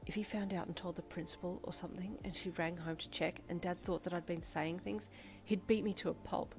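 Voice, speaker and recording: A woman voicing a frightened teenage girl hiding abuse at home, speaking in a hushed, shaky voice. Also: medium pitch, measured pace, gravelly. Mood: panicked, hushed. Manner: hushed, shaky inner thoughts.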